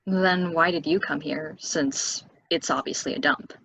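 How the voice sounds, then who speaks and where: A woman at a stage play table reading, playing a calm, solitary young local who tells things in an unhurried, matter-of-fact way. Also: low-pitched, vocal fry, husky, heavily acted, poor audio. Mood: defensive.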